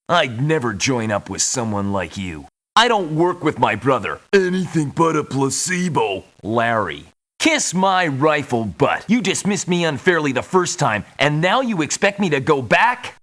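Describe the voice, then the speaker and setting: An actor playing a surly, touchy mercenary in a video game, talking in a blunt, resentful manner. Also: male voice, a little high, sing-song, moderate pace, fairly bright tone. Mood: resentful, combative. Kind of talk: video game character voice lines.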